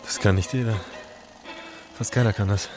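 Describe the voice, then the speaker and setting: An actor playing an earnest, fragile young camera assistant who is easily overwhelmed, in a German radio drama. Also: male voice, on the low side, fairly even intonation, moderate pace, music in the background, close to an everyday voice. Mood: proud.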